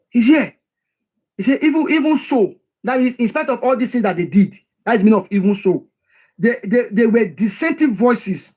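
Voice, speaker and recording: A man with a notably high voice works through a history text. He reads a passage, stops to explain it in plain terms, and says key lines twice to drive them home. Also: a little mellow. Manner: reads quoted passages then explains them, repeats key phrases for emphasis. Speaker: history commentator.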